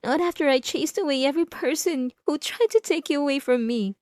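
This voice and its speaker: A woman performs as a possessive yandere wife, obsessed with her spouse. Her voice is on the high side and sits close and dry, with no room sound. She speaks intimately and theatrically, in the manner of an ASMR roleplay monologue. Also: darkish tone, dry recording, lightly acted. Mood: angry, possessive. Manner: intimate, theatrical, drawn-out emphatic phrases.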